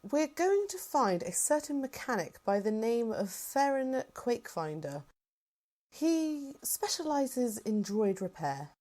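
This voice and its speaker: Assured female general played by a woman, fairly high voice with animated pitch, formal and reassuring, sci-fi roleplay. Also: slow. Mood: composed, reassuring. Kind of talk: fan-made sci-fi roleplay drama.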